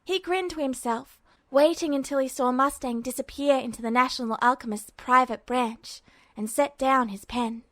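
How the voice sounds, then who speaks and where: Woman, audiobook narrator, steady storytelling, higher pitch, dry sound. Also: normal speed, no echo. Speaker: podfic reader. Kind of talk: audiobook narration of fan fiction.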